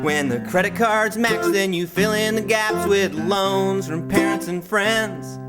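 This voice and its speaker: A woman's voice: mid-range voice, measured pace. A woman singing a folk ballad as a singer-songwriter, accompanied by guitar. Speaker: singer-songwriter performing at a variety show. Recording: loud acoustic guitar accompaniment.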